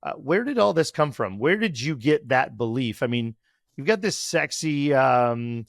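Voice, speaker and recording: A man's voice: medium pitch, animated, a little slow, vocal fry, dry recording, studio quality. A man hosting a podcast interview, asking questions in a conversational way with some fillers. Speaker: podcast host.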